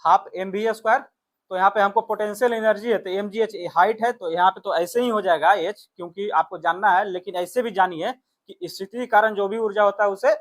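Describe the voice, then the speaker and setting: Male exam tutor, high-voiced, explains step by step and restates key points. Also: fairly even intonation, a little fast. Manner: instructive, repeats points and reads questions aloud in Hindi and English. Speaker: exam coaching teacher. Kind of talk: explanatory test-prep lesson.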